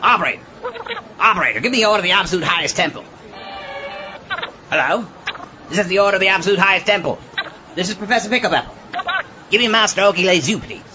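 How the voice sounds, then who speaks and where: High-pitched frantic mad professor. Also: male voice, animated, quick pace, long pauses, dull audio, music in the background, crowd noise. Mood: frantic, excited. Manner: frantic, theatrical, cackling. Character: excitable, scheming mad scientist professor on the telephone. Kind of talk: comic audio drama acting.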